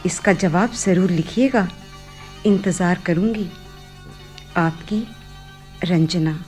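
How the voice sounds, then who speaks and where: Slow, tender young daughter. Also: female voice, fairly low pitch, soft background music. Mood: hopeful, tender. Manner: sincere, halting, gentle. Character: frail, sincere young daughter, hopeful and tender. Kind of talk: Hindi radio play acting.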